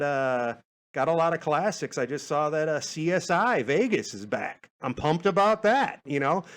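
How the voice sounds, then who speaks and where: A man hosting a podcast in casual, rambling talk, hesitant and self-deprecating, often stammering and repeating his words. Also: fairly high pitch. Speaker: podcast host.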